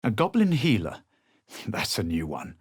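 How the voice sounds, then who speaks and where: A man playing a proud, haughty adventurer in a fantasy video game, speaking in a measured, dramatic, superior way. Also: fairly low pitch, huge pitch swings, slightly rough, no echo, clean studio sound, exaggerated voice. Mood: wary, superior. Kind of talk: fantasy video game voice lines, narration and incantations.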